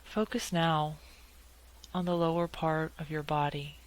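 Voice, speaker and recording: A woman leading a guided meditation in a calm, measured way, leaving long pauses between short phrases. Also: deep voice, rough recording.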